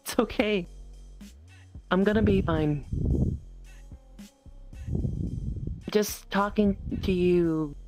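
A woman's voice: mid-range voice, slow, music in the background, natural voice. A woman playing a stressed, caring friend in improvised role-play, hesitant and often repeating her words. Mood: gently declining. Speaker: role-player in a virtual world.

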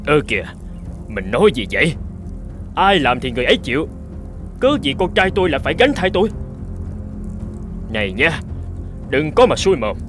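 A man playing a stubborn, greedy hunter and father in an animated folk tale, brusque, boastful and dismissive. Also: a little high, normal speed, pauses often. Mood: indignant, bossy. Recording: music behind the voice.

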